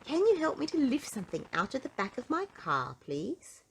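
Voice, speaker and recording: A woman's voice: sits high, huge pitch swings, moderate pace, gravelly, slight background noise, voice pushed up, full character voice. A woman voicing a cheerful, polite and greedy little lady from a children's storybook, in an eager, emphatic manner. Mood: delighted.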